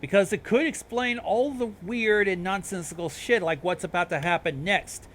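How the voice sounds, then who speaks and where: High-voiced man, casual rambling commentary. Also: normal speed, a bit bright, a little hiss or hum. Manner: informal, profane, rambling. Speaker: host explaining videos.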